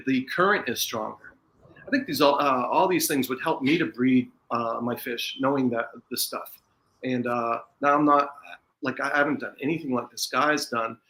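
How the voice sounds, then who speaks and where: An American man chatting casually as a guest on a podcast. He talks at a middling pitch, rambling along, often stopping and restarting mid-sentence. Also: pushed and bright, low-quality recording. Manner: rambling, halting, with frequent restarts. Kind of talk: casual chat.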